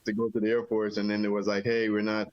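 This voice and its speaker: A man's voice: on the low side, quick pace, no room sound, lo-fi sound. A middle-aged man from Jamaica, an army veteran telling his story in a casual interview, rambling easily with many fillers. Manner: relaxed, rambling with many "you know" fillers.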